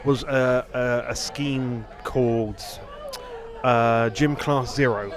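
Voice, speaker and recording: A man's voice: medium pitch, steady tone, slow pace, crowd noise. A man in a casual podcast chat, rambling and often rephrasing himself. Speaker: podcast host. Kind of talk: casual two-person chat.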